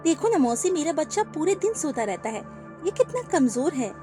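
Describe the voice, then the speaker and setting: A woman's voice: sits high, sing-song, moderate pace, music in the background, voice pushed up. A woman as an anxious mother sparrow. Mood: worried.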